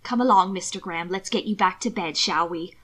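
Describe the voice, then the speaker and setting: A woman reading the part of a calm, deferential male butler. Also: on the low side, fairly quick. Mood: soothing. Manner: soft, soothing, deferential.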